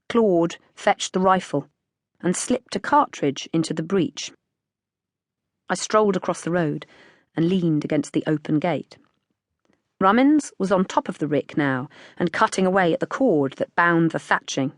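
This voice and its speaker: A woman's voice: sits low, dry recording. British woman narrating, dry close sound. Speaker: audiobook narrator. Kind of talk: scripted literary narration.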